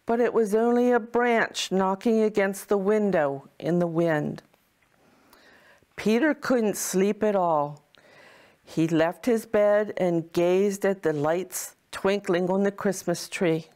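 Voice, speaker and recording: Woman reading a kids storybook as mrs claus, really slow and careful, expressive, motherly, mid pitched voice. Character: Mrs. Claus, kindly motherly wife of Santa.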